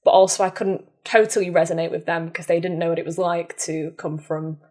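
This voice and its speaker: A woman from Manchester with a softened Mancunian accent, talking reflectively as a guest in a conversational interview. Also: fairly low pitch, flat delivery, brisk. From Manchester, England. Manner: reflective, soft-spoken, frequent "mm" and "yeah". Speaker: podcast guest.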